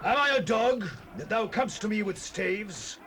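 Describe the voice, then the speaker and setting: An actor voicing a huge, boastful, scornful warrior champion, declaiming loud taunts in a dramatized Bible recording. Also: male voice, sits high, animated, moderate pace. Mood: scornful menace. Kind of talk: dramatized Bible audio acting. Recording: faintly noisy cassette rip.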